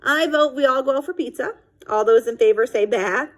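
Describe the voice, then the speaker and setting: A woman's voice: high-pitched, fairly quick, voice pushed up, close to an everyday voice. A woman voicing an exasperated but good-humoured father in a children's storybook, wry and mock-stern. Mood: upbeat.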